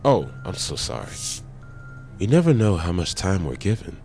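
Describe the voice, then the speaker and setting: An actor playing a sinister, courteous boss with a slow, formal, ominous manner in a horror comic dub. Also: male voice, low voice, very animated, gravelly, darkish tone, faint music behind, full character voice. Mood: ominous politeness. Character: sinister, courteous male boss of a shady employer.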